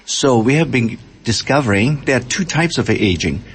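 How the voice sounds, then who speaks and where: An older man from Malaysia, a scientist and interview guest, explaining his points with halting starts. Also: medium pitch, animated, a little hiss or hum. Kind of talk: radio interview answers.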